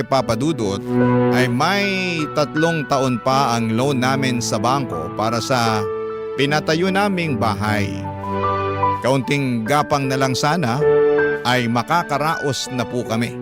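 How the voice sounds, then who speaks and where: A man playing a humble, anxious seaman father, narrating his letter in a measured, confiding way with a Filipino accent. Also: mid-range voice, close to an everyday voice. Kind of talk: dramatic first-person letter narration. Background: music.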